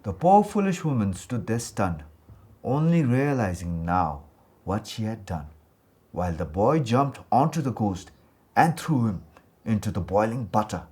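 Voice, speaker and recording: A man from Bhutan telling a scripted folktale for children in a dramatic, expressive way. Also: mid-range voice. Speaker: storyteller.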